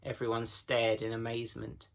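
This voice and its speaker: A man, a teacher, giving an expressive storybook narration for children. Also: medium pitch, normal speed, gravelly. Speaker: teacher reading aloud.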